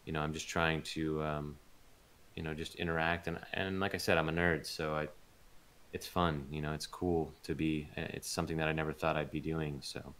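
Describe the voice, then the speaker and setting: A man talks casually as the guest in an interview about his voice acting. His pitch is extremely low, and his intonation stays flat and even. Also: moderate pace. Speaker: guest voice actor being interviewed.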